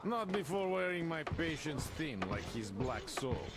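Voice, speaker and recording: An actor playing an easygoing, wry guard in a post-apocalyptic video game. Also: male voice, fairly high pitch, sing-song, unhurried, very noisy. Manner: wry, casual. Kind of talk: video game cutscene acting.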